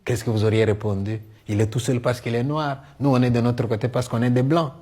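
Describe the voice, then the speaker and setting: A middle-aged man with a Congolese French accent tells comic stories in a one-man show, acting out dialogue in an animated way. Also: medium pitch, brisk. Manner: animated storyteller, acting out dialogue, punctuating with "hein". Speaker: stage storyteller and comedian.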